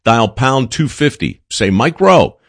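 A man's voice: medium pitch, big pitch swings, unhurried, no echo, clean studio sound. A man reading a scripted radio advertisement in an upbeat, persuasive sales voice. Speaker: ad spokesman.

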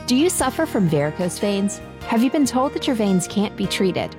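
A woman's voice: medium pitch, very animated, normal speed, music in the background. A woman reading a clinic commercial in an earnest, persuasive tone. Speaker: ad announcer.

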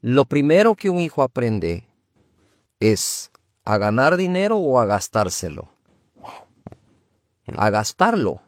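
Pastor host with a dry, close sound. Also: male voice, mid-range voice, big pitch swings, slow pace, long pauses, no room sound. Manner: affirming, interjecting with short agreements. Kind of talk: conversational interview.

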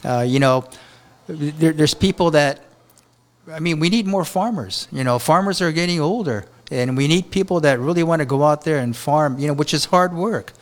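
A man from Japan, a chef and restaurant owner, talks in a rambling, halting way with many restarts during an interview at a beach hotel. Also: on the high side. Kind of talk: casual interview talk.

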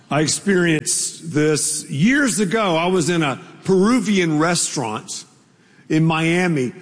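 A male pastor with a slightly high voice, preaching at an unhurried pace. He tells stories in a relaxed, joking way and talks straight to the congregation. The sound rings with the long reverb of a large church hall. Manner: storytelling, conversational, playful asides to the congregation. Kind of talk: sermon.

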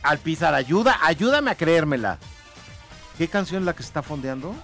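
A man's voice: a little high, sing-song, moderate pace, a bit bright, faint music behind. A man, hosting with teasing, playful banter. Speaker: radio show host. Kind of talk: joking banter.

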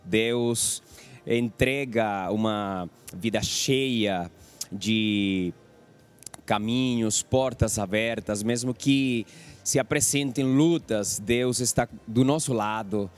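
A man from Venezuela with a Venezuelan Spanish accent, speaking Portuguese as a second language while giving an earnest church testimony in a calm, measured way. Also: fairly low pitch.

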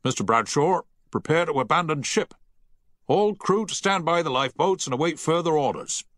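A commanding sea captain, put on by a male narrator, bellowing orders in a raised, lively voice. He barks each command over a clear, dry recording. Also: a little high, moderate pace, no echo, voice pushed up. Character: commanding male ship captain under fire.

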